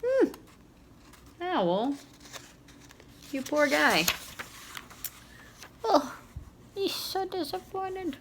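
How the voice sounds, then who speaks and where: A woman reading a storybook aloud to young children, expressive and playful, in a teacherly way. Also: high-pitched, drawn-out words, long pauses, noisy background. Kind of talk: read-aloud storybook narration with questions to young listeners.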